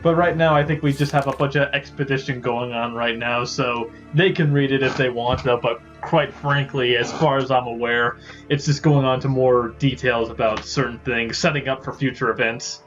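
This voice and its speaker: A man's voice: mid-range voice, fairly even intonation, normal speed, a bit bright. A man giving casual, rambling gameplay commentary as host of a gaming series. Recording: dull lo-fi mic, faint music behind.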